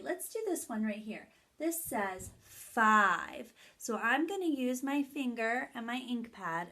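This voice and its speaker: A woman's voice: on the high side, bright, forceful tone. A woman teacher leading a story time lesson for young children, explaining things step by step in simple phrases with key words stressed. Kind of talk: children's lesson, read-aloud and activity instructions.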